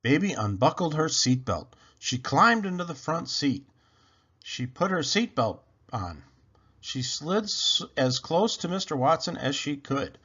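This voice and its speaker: A man narrating a children's book aloud in an expressive, dramatic, emphatic style. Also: on the high side, measured pace, husky, muffled recording. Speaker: storybook reader.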